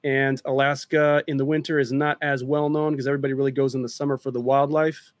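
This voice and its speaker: Man, mid-pitch, relaxed and talkative, dry close sound over a video call; guest filmmaker. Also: fast talker, dry recording. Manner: relaxed, chatty, explains at length. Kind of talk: casual interview chat.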